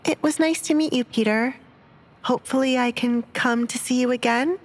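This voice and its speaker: Woman acting a high-voiced polite young bride. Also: normal speed, close to an everyday voice.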